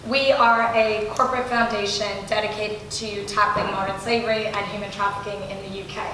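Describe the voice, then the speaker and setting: Slightly hoarse woman giving an enthusiastic talk. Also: mid-range voice, flat delivery, brisk, bright, forceful tone. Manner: enthusiastic, with restarts. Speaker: guest speaker from a foundation. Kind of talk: live speech at an event. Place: large room, audience present. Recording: very echoey room, faint noise.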